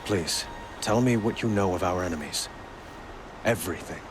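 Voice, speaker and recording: A man plays a gentle, dignified king in a fantasy game. His voice is fairly low, his speech formal and sincere, with clear ambient noise behind it. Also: moderate pace, natural voice. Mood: sincere, contrite. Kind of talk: video game cutscene acting.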